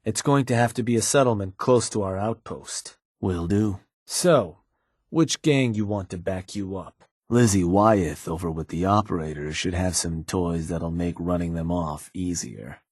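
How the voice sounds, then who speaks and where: A gruff raider advisor from a video game, speaks in a low, raspy voice. He is shrewd and businesslike, laying out options to his boss in casual, clipped phrases. The sound is dry and close. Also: a little slow, a little mellow, no echo. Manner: laid-back, businesslike, colloquial delivery with clipped phrases. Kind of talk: video game character dialogue.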